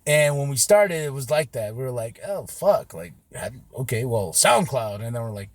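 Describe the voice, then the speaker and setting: A man's voice: mid-range voice, big pitch swings, normal speed, no echo. A man hosting a casual podcast, brash and laughing, swearing freely, recorded on a phone hanging inside a car. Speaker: podcast host. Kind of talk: casual chat, heavy swearing.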